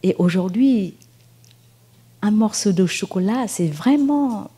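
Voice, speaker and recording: A woman with a Vietnamese accent gives a dharma talk, speaking very slowly in a mellow, slightly husky voice. She is gentle and unhurried, pausing often and filling gaps with soft fillers. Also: medium pitch, lively intonation. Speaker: Buddhist nun teacher. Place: meditation hall at a retreat.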